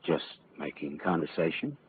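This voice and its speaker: An actor playing a wry, tough, world-weary private detective with clipped, wisecracking delivery in an old-time radio drama. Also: male voice, rumbling low, sing-song, normal speed, slight background noise. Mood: wary. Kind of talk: old-time radio drama acting with first-person narration. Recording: muffled old radio recording, faint music.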